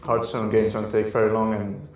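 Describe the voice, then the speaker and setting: A man's voice: lowish voice, completely flat delivery, normal speed. A man, an esports player, giving answers in a recorded interview. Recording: very echoey room, guitar music underneath. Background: plucked guitar music.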